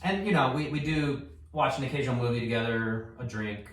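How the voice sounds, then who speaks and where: A man's voice: medium pitch, a little fast. A male clinician talking casually to camera from his garage, explaining an assessment in a chatty, rambling way. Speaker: physical therapist. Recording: roomy echo.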